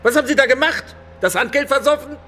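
An actor playing a short-tempered, bossy stadium manager in a radio crime drama, brusque and crude. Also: male voice, very high voice, fast, pushed and bright, slight background noise. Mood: angry.

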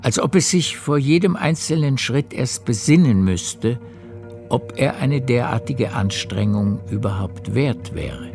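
A man narrating a literary radio play in a measured storytelling manner. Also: medium pitch, expressive, gravelly, a little mellow. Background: music.

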